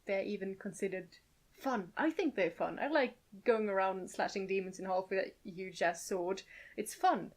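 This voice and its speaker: A woman's voice: medium pitch, normal speed. A woman co-hosting a casual gaming podcast discussion, speaking thoughtfully with frequent hedges and agreeing murmurs. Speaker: podcast co-host.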